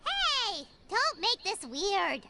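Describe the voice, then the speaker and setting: A woman voicing a tiny, excitable, childlike fairy companion in an anime video game, chirpy and eager. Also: extremely slow, heavy background noise, full character voice. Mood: pondering, playful. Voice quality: squeaky, childlike. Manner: chirpy, eager, speaks of herself by name. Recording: loud game music behind the voice. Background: game music.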